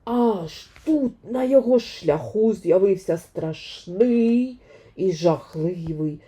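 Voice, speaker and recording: A woman narrating a fairy tale for children, expressively and dramatically, with deliberate emphasis. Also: mid-range voice, lively intonation, warm tone. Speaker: children's storyteller.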